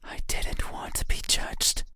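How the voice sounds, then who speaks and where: An actor voicing a creepy, intimate bedside monster in a horror game, pleading and menacing in a breathy, insistent whisper. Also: bright, forceful tone, no room sound, clean studio sound. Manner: slow, insistent, eerily deliberate.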